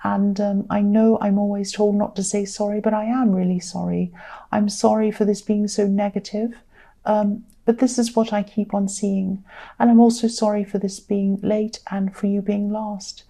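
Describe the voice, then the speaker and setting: Mellow, slightly croaky, tired woman. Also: mid-range voice, level tone, moderate pace. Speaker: tarot reader. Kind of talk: conversational tarot reading to viewers.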